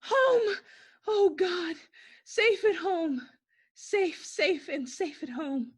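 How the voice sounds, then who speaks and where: Scared young woman played by an actress in an old-style radio play, super high voice, frantic and breathless, talking to herself. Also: animated, drawn-out words, full character voice. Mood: shaky relief. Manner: breathless, frantic self-talk in short repeated bursts. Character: terrified young woman fleeing home alone at night. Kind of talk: 1940s radio play acting.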